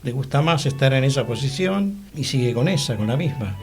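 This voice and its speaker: A man's voice: mid-range voice, expressive, a little fast, soft background music. A man with a Rioplatense Argentine accent co-hosting a casual chat, agreeable and repeating his words.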